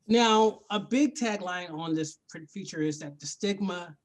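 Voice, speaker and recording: A man's voice: high-pitched, measured pace. A man hosting an entertainment interview, asking questions with enthusiasm. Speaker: interviewer, host.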